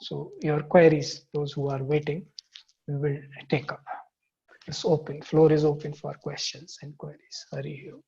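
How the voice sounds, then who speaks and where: A man's voice: a little high, flat delivery, moderate pace, creaky, a little mellow, slightly rough, dull audio. A man with an Indian accent, a native Hindi speaker, teaching as a guru in short, pondered phrases during an online question-and-answer session. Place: online video meeting.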